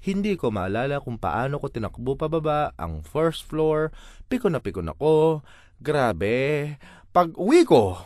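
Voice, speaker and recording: A man's voice: fairly high pitch, a little mellow, no echo, studio quality. A man with a Filipino accent reading a first-person comic monologue in a theatrical, exasperated way. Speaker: voice-over reader of a comic monologue.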